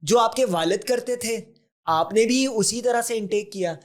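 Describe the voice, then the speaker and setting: A man's voice: high-pitched, a little slow. A man, a podcast guest who explains things earnestly and drops English terms into his talk. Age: 40s. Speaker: podcast guest, health advocate. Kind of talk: conversational interview.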